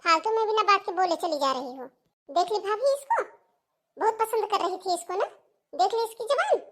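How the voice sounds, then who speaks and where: A woman's voice: extremely high pitch, normal speed, sharp tone. A woman voicing a spiteful, scornful married sister-in-law in a melodramatic cartoon family drama, accusing and taunting. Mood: angry, taunting.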